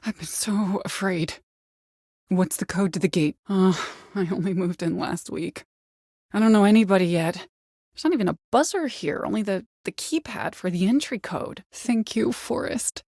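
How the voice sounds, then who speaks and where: An actress playing a woman caller in a video game, by turns desperate, coaxing and menacing, with a hushed, insistent way of pleading. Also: mid-range voice, lively intonation, normal speed, no room sound, studio quality. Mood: frightened pleading. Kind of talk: video game voice acting, dramatic dialogue.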